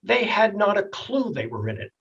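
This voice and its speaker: A middle-aged or older man with a slightly husky voice, a doctor explaining things in a plainspoken, folksy way in a TV health interview. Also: high-pitched, big pitch swings, normal speed, a bit bright, lo-fi sound, slight background noise. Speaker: doctor, expert guest.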